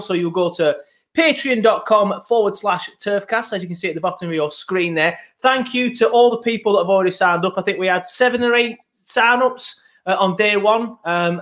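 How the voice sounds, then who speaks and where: Male host, highish voice, rambling chatty style, laptop recording. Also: a little fast, fairly bright tone. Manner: chatty, rambling with many ums. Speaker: podcast host. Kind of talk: casual football podcast chat. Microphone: laptop setup, video podcast.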